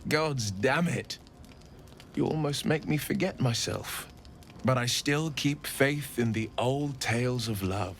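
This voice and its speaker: An actor playing a courtly, romantic young adventurer in a fantasy video game, tender and bashful, with gallant, poetic phrasing. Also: male voice, mid-range voice, slow, vocal fry, slight background noise. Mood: romantic, earnest.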